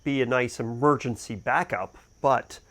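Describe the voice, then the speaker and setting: A man's voice: medium pitch, a little slow, fairly bright tone. A man hosting a boating gear review, talking casually and off the cuff as he thinks aloud. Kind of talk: casual unboxing and review talk, unscripted.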